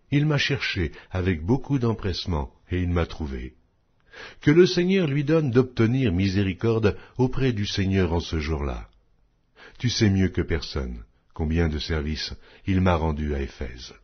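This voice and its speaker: Male Bible narrator, rough husky voice, widely swinging intonation, solemn and measured, declamatory. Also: sits low, big pitch swings, dull audio. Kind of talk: solemn scripture reading.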